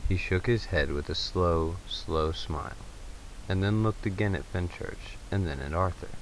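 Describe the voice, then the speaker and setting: A man's voice: deep voice, normal speed, a little hiss or hum, rough recording. A man narrating a story in a dramatic storybook style.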